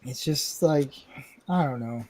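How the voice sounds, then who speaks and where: A man's voice: medium pitch, takes it slowly, noisy background. A man hosting a casual live podcast, talking bluntly and rambling with self-mocking humor. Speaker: podcast host. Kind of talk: casual live-stream chat.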